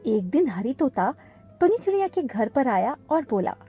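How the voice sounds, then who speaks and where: A woman's voice: high voice, sing-song, normal speed, warm tone, breathy edge, lo-fi sound, soft background music. A woman narrating a children's cartoon story from a script.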